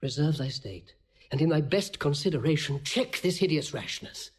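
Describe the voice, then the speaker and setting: High-voiced, defiant nobleman. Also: lively intonation, moderate pace, slightly rough. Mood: defiant, urgent. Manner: earnest, declamatory, outspoken. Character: blunt, loyal nobleman, passionate and defiant. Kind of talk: classical Shakespearean audio drama acting.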